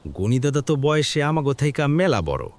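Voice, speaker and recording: Male actor as cynical, preachy villager, rural Bangladeshi accent, very varied pitch, faint background noise. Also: big pitch swings, normal speed, lightly acted. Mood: cynical, earnest. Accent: rural Bangladeshi Bengali. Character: frank, cynical younger village man, earnestly moralizing. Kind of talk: cartoon village drama dialogue.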